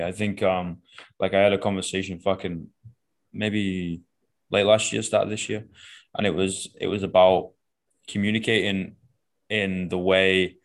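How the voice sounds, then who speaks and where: Deep-voiced blunt Northern English coach. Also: male voice. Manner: blunt and profane, says "right?" and "man" after almost every point. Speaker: fitness coach. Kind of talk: casual podcast chat.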